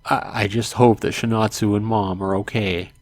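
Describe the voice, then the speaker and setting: A man hosting a let's play, reading a visual novel aloud in a dramatic way and adding hushed asides. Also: lowish voice, fairly even intonation, normal speed, dry recording. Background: game music.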